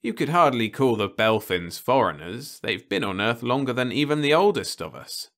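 A man's voice: mid-range voice, big pitch swings, normal speed, fairly bright tone, pitched above the performer's own voice. A man voicing an earnest young man insisting everyone is content, in a storybook reading.

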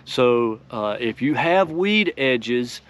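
A man's voice: medium pitch, slow. A middle-aged man hosting a fishing video from a boat on a lake, talking casually with many uhs and ums. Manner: relaxed, filler-heavy explaining with many uhs. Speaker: fishing channel host. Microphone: action camera on the boat.

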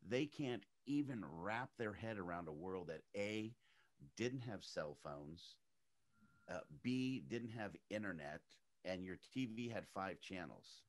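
A middle-aged man raised in Arizona, an actor talking casually as an interview guest and acting out the people he quotes with big pitch jumps. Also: expressive, slow pace. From United States, raised in Arizona.

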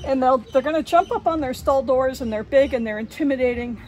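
A woman's voice: high voice, fairly quick, a little mellow. A woman who keeps pigs at an attraction, casually explaining them in a chatty way. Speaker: pig attraction keeper.

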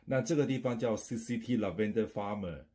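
A man's voice: fairly low pitch, fairly quick, warm tone. A middle-aged man, chatting informally to camera as a travel vlogger in a small office. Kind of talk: casual chat to camera. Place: small indoor home office.

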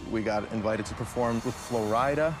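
A man's voice: lowish voice, moderate pace, rough recording. A man in his twenties, a contestant, talking earnestly to camera about his past on a show. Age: 20s. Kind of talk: interview to camera. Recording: music playing behind.

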